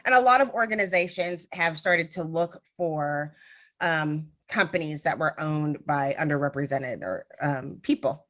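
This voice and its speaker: Lady cohost rambling into side stories, kinda low voice, lots of ums. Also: unhurried, a bit bright. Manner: chatty, digressive storyteller with frequent ums. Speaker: podcast co-host. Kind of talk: casual conversation.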